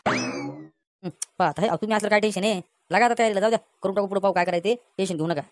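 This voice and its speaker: A woman voicing a brisk, insistent male village Patil in a comic cartoon, with a folksy Varhadi Marathi accent. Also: medium pitch, dry recording, lightly acted. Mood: exasperated, insistent. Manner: insistent, folksy, dismissive of worries.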